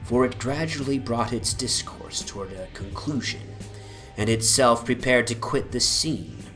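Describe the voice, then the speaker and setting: A man giving a solemn, deliberate dramatic narration of a literary horror story over an ambient soundscape. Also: medium pitch, music in the background.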